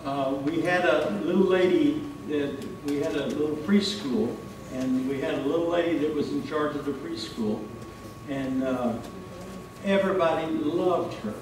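A man's voice: on the high side, normal speed. An older American man preaching a sermon in a folksy, storytelling manner. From Texas, United States. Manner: folksy, illustrating with homely stories. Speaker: pastor. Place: church stage, indoors. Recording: echoey hall, distant mic, faint noise.

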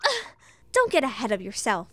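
A woman's voice: on the high side, huge pitch swings, normal speed, pushed and bright, full character voice. A woman playing a defiant, stubborn young female rival in a video game fan dub, curt and confrontational.

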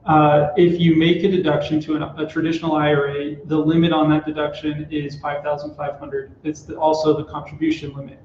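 A man's voice: on the high side, slight background noise. Man in his thirties explaining taxes. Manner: steady, explanatory, with filler "uh"s. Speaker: tax expert guest. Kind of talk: explaining tax rules in a live company broadcast. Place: small office room. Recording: roomy, dull office recording.

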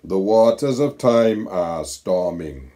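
Older man from the Caribbean, slow poetic letter reading, low mellow voice, home webcam. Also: animated. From Saint Kitts and Nevis. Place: home room with bookshelves.

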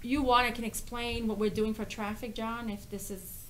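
A woman's voice: fairly high pitch, level tone, moderate pace, rough recording. A middle-aged woman explaining things as a city official in a meeting room, insistently posing questions and answering them. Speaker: city official presenting to a planning board. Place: indoor municipal meeting room. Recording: faint noise, meeting room recording.

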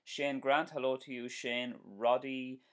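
A man's voice: mid-range voice, level tone, measured pace, a bit bright. A man in his forties with an Irish accent, hosting a live stream as a driving instructor, chatting casually and answering viewer comments with plenty of ums and restarts. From Ireland. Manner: chatty, friendly, repeats himself, many um and uh fillers. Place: small indoor room at home.

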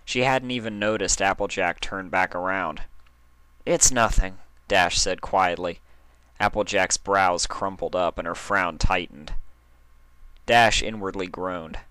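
Bright, low-pitched male story narrator. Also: a little slow, clear, resonant tone, no room sound. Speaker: fan fiction reader and narrator.

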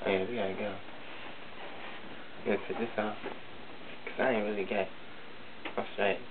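A man's voice: lowish voice, level tone, normal speed, lightly acted. A man voicing a sassy, flamboyant young male cousin who tells a boastful, tough story in a comedy skit, recorded in a basement. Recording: tinny lo-fi audio with hiss.

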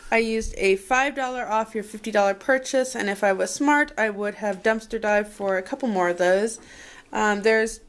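A woman vlogger talking casually indoors at home in a rambling, think-aloud way, recorded on a handheld camera. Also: medium pitch. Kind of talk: casual chat, showing a grocery haul. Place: indoors at a home dining table. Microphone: handheld camera microphone. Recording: noisy handheld camera audio with clicks.

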